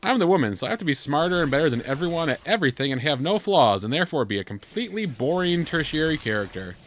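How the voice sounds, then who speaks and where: A man's voice: a little high, sing-song, fast talker, fairly bright tone, voice pushed up. A man parodying a smug, self-important woman character in a mocking way during a gaming chat. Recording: noisy home gameplay recording.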